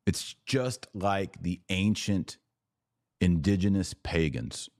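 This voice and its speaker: A man with a very low voice hosts a casual podcast. He talks in a sarcastic, animated way. The sound is dry and close, with no room in it. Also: dry recording. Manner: animated, sarcastic, jumps to high incredulous exclamations; slow deliberate Latin prayer recitation. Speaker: podcast host.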